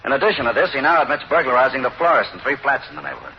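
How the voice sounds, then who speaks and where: An American actor playing a respectful, matter-of-fact police detective in an old-time radio drama. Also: male voice, mid-range voice, very fast, gravelly, lightly acted. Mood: factual report. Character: businesslike male police detective, respectful, flatly factual. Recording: muffled, noisy old radio recording.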